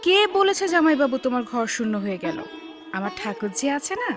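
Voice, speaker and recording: A woman's voice: fairly high pitch, very animated, fairly quick, music in the background. A woman acting in a radio drama as a kindly, comforting village sister-in-law.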